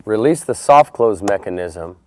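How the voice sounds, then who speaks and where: A man's voice: on the low side, animated, normal speed, a little mellow. A man presenting a how-to installation tutorial, explaining each step in a plain, methodical way. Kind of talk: step-by-step instructional narration.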